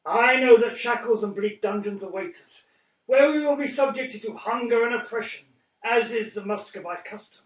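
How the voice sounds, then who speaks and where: Man playing a Cossack leader, radio play, impassioned oratory, high voice, roomy echo. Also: moderate pace. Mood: defiant. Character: defiant Cossack leader pleading before a tsar. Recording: roomy, distant from the mic.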